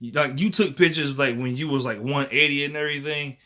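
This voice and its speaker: A man's voice: on the high side, brisk, pushed and bright. A man of thirty talking casually to the camera in a rambling, slangy and profane way, often dropping "you know what I'm saying.". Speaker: vlogger.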